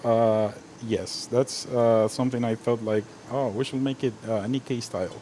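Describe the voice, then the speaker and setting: Easygoing chef born in Guatemala, mellow. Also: male voice, mid-range voice, steady tone, moderate pace, slight background noise, rough recording. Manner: easygoing, many "you know" fillers. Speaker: restaurant chef. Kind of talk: casual interview chat.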